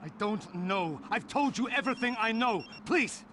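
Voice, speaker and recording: Game captive henchman: frightened, pleading, extremely high strained pitch, heavy gunfire noise. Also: animated, normal speed. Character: desperate, frightened captive henchman under interrogation. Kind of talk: video game cutscene acting. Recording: loud gunfire and vehicle noise.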